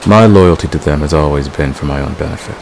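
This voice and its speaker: A man playing a devoted, manipulative villain in a romantic ASMR roleplay, speaking with deliberate, possessive intensity. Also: a little mellow. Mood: devoted, resolute. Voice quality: very deep, gravelly. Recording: clear background hiss.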